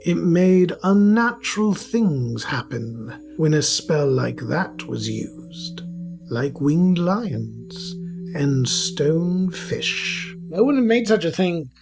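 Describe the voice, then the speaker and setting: A man's voice: a little high, very animated, slow pace, vocal fry, a little mellow, full character voice. A man playing a flustered, bookish young wizard with an awkward, stammering manner in an audio romance story. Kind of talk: scripted audio fiction, narration and character dialogue. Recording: music behind the voice.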